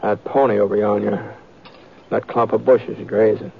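Gravelly, folksy Old West lawman. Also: on the low side, little pitch movement, moderate pace. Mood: steady. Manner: hesitant, folksy drawling delivery with murmured asides. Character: easygoing seasoned Old West lawman and tracker, unhurried and folksy. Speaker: lead actor and narrator of the story. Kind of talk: 1940s-50s radio western acting and narration. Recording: noisy, muffled old radio recording with clicks.

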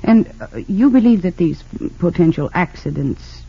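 An actress playing a composed, principled woman defense attorney in an old radio drama, formal and measured as she questions. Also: lowish voice, expressive, husky, dry recording. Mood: probing, businesslike. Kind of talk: 1940s-50s radio drama acting. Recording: dull lo-fi old radio recording.

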